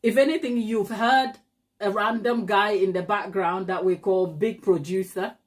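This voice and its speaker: A woman with a Ghanaian accent speaks straight to her live-stream viewers, firm and emphatic. She asks a lot of rhetorical questions and has a medium-pitched voice. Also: steady tone, a little slow. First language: not English. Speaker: cake maker and channel host.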